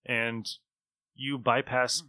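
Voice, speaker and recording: A man serves as game master for a tabletop role-playing game. His voice is bright and forward at a middle pitch, recorded close and dry. He moves between descriptive narration and loose table banter. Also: flat delivery, drawn-out words, no room sound.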